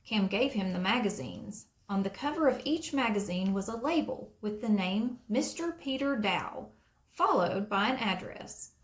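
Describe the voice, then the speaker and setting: Woman, children's read-aloud narration, deliberate storytelling, lively intonation, echoey room. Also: medium pitch. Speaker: storybook reader. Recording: roomy, echoing sound.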